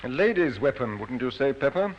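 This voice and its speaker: A man's voice: medium pitch, big pitch swings, brisk, lightly acted. Man acting stern detective inspector, controlled, authoritative, old radio play, muffled, faint hiss. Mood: measured. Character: stern, unflappable Scotland Yard police inspector. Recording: hissy, dull old radio recording.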